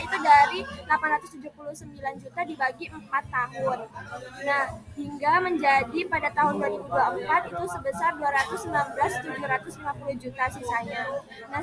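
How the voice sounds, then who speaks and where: A woman's voice: high-pitched, low-quality recording. A young woman, a student, presenting a worked accounting problem in class, talking hesitantly with frequent fillers. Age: young adult.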